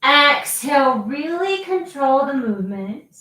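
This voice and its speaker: A woman guiding a yoga class with slow, calm cues and long pauses between them. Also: high voice. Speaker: yoga teacher. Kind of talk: guided yoga instruction. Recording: very echoey, voice from a distance.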